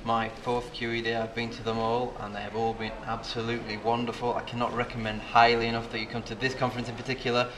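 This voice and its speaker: A man's voice: medium pitch, completely flat delivery, quick pace, hardly any pauses. A man from the UK who runs a local skeptics meetup, speaking fluently and enthusiastically at a conference. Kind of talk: casual conference testimonial. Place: large hotel hall at a conference. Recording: noisy hall background.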